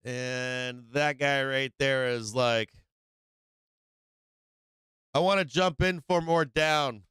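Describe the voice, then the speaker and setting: A man's voice: mid-range voice, slow, a bit bright, clean tone, no echo, clean studio sound. A man hosting a live stock market stream, casual and slangy, rambling with many fillers and restarts, saying he is losing his voice.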